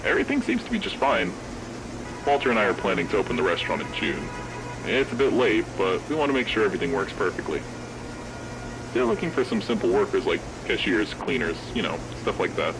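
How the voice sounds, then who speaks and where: A man's voice: low-pitched, sing-song, a little fast, over music. A man playing an earnest, upbeat 1970s restaurant founder recording a casual, musing progress log for an analog horror series. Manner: casual, musing, hesitant note-checking. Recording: noisy vintage tape-style recording.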